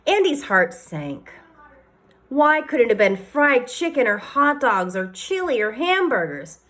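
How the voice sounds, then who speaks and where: A woman teacher reading a children's novel aloud, expressive, sometimes speaking to her listeners. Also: on the high side, unhurried.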